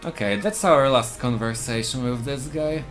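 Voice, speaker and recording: A man's voice: mid-range voice, normal speed, a bit bright. A man with a Polish accent, a speedrunner explaining his tactics plainly while he plays live. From Poland. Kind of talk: live gameplay commentary. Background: game music.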